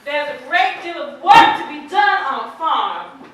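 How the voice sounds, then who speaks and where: A woman's voice: sits high, big pitch swings, unhurried, distant mic. A woman playing a plainspoken, defensive farmer's wife in a stage drama, blunt in manner. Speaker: amateur stage actor. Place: indoor theater stage, distant microphone. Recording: echoey stage from afar, clear background noise.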